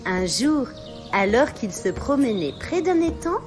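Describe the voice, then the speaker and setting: Female storyteller for scripted children's tales: higher voice, lively intonation, music underneath. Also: a little slow.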